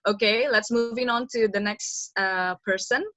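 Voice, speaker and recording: A woman's voice: mid-range voice, fairly even intonation, moderate pace, sharp tone, no echo. A woman informally moderating an online event and handing over between speakers.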